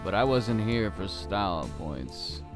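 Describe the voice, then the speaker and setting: A man playing a brooding, world-weary private detective, narrating a noir audio drama in terse, deadpan, wry lines with a gravelly voice. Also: lowish voice, takes it slowly, music in the background. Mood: grim, reflective.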